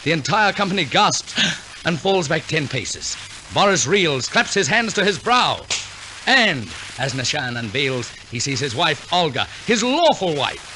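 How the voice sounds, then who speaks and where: A man's voice: on the high side, big pitch swings, measured pace, bright, forceful tone, slight background noise. A man narrating a scripted 1940s radio operetta as announcer, in a theatrical, arch and wry storytelling manner. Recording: old 1940s radio recording with orchestral music.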